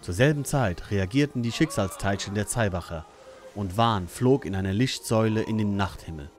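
A man's voice: fairly low pitch, moderate pace, faint music behind. A man reading scripted narration for an audio drama.

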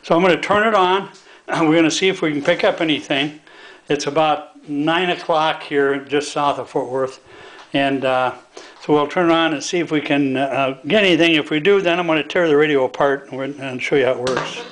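Higher-voiced older American man, halting and folksy. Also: a bit bright, low-quality recording. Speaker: hobbyist demonstrator. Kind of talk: casual hands-on demonstration. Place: indoors at a home workbench.

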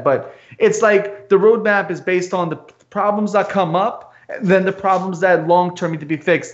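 A man whose first language is Albanian, speaking earnestly in a casual video-call chat, often restarting his sentences. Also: high-pitched. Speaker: podcast host. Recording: muffled lo-fi call audio.

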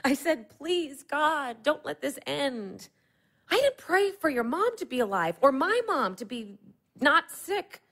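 Radio play: young American woman, wistful, candid, playful; high, very lively pitch. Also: slow pace, heavily acted. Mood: wistful, earnest. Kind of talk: naturalistic audio play acting.

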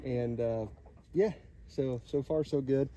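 A man in his thirties casually explaining barn layout on an outdoor building site, relaxed and plain-spoken with many fillers. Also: mid-range voice, slow pace. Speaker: vlogger building a pole barn. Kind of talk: casual how-to narration. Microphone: handheld phone camera. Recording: outdoor phone audio with noise.

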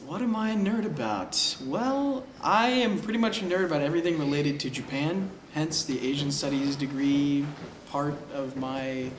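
A man's voice: a little high, moderate pace, reverberant, slight background noise. A man in his twenties from Oklahoma, answering interview questions with enthusiasm. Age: 20s. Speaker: singing contest entrant. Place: wood-panelled room, seated in armchair.

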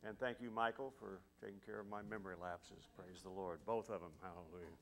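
A middle-aged pastor with a fairly low voice speaks from a podium mic in a large church sanctuary. His talk is folksy and relaxed, dotted with hallelujahs. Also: fast talker, gravelly, a little hiss or hum. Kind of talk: casual sermon and prayer time.

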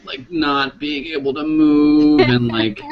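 A man's voice: a little high, steady tone, slow pace, over a noisy crowd. A man in his late twenties, a game player chatting casually, rambling with lots of fillers over a video call headset. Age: 20s. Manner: rambling, many likes. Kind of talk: casual chat. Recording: dull lo-fi call audio.

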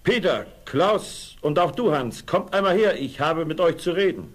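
An actor playing a kindly, fair-minded ageing master miller in a fairy tale audio play. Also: male voice, a little high, expressive, normal speed. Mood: solemn.

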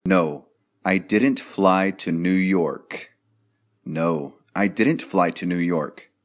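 A man teaching English, reading each drill sentence twice in a deliberate way. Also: low voice. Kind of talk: slow, repeated drill sentences for learners.

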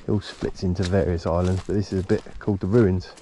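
Bloke with a bit of a cold, deep soft mellow voice, chatting away while fishing outside. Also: male voice, level tone, fast talker, slight background noise. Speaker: angler filming a fishing vlog. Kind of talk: casual chat while fishing. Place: outdoors on a riverbank. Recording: outdoor action camera with background ambience.

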